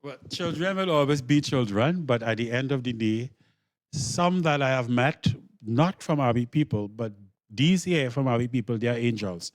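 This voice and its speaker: A man explaining things in an interview as a drama director, deliberately and with repeated words for emphasis. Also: mid-range voice, lively intonation, no room sound.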